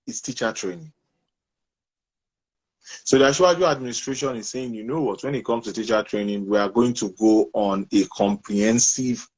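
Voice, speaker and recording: A man's voice: lowish voice, poor audio. A man from Nigeria hosting and moderating an online discussion, firm about keeping time. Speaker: host. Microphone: online call.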